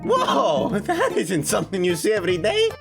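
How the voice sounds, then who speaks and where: A woman voicing a cheerful, easily amazed adventurous plumber hero in a cartoon dub, with a gravelly edge. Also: medium pitch, wildly swooping pitch, normal speed, over loud music. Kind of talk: cartoon comic-dub voice acting.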